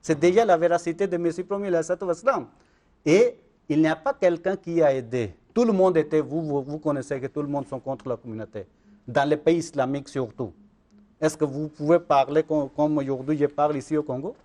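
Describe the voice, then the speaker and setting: A man, speaking in a fairly high voice. He argues insistently as an interview guest, circling back to check that he is understood. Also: a little fast, mellow. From Pakistan. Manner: insistent, repeats "vous comprenez?". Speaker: Ahmadi missionary guest. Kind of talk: religious interview argument.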